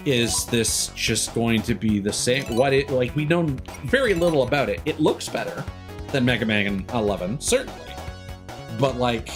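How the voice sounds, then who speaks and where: A man with a mid-pitched voice talks like a game streamer over clearly audible music. He rambles through his opinions casually, pausing and picking up again, then leaning hard on certain words. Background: music behind the voice.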